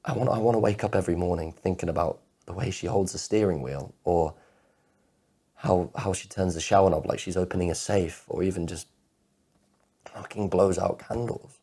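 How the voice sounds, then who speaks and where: A heartbroken, anxious man played by a male actor delivers a confessional monologue in a very deep, dark voice. He stammers over repeated words as his obsessive thoughts intrude, and his tone is husky and rough. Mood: desperate, heartbroken. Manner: halting, stammering repetition of words. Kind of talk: dramatic monologue performance of spoken-word poetry.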